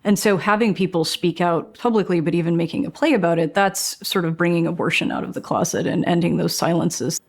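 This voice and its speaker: A woman with a somewhat low voice explains a point fluently and analytically. Her delivery is measured and articulate. It has the feel of an expert interview. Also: creaky. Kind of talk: documentary interview.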